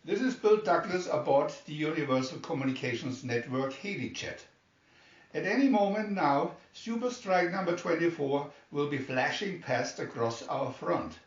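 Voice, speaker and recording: An excited, awestruck male reporter acted out by a man reading a storybook gives exclamatory commentary. His voice sits a little high, in a roomy, slightly dull recording. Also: moderate pace, distant mic. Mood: excited, awed. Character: excited male broadcast reporter announcing a spectacle.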